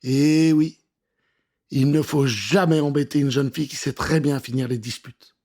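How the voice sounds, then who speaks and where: A man narrating a children's story in an expressive, theatrical and conspiratorial way, speaking straight to the listener. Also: medium pitch, a little slow. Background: music.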